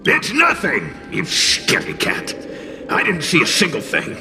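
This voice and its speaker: High, grumpy mocking spirit. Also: male voice, huge pitch swings, measured pace, music in the background, full character voice. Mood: scornful. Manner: dismissive, mocking. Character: grumpy, scornful male fire spirit. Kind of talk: video game voice acting. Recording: clear noise behind the voice.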